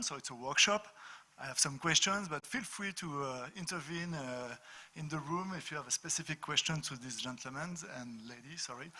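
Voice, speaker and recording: Bright-voiced male panel moderator. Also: on the high side. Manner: hesitant, many fillers and restarts. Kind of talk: moderating an open workshop discussion.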